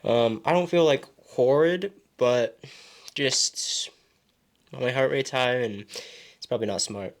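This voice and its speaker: A young man chatting casually in a vlog about his training, his voice hoarse and throaty from a cold, rambling with many fillers. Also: medium pitch, slow, long pauses. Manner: loose and rambling, many ums and restarts. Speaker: vlogger training for a triathlon.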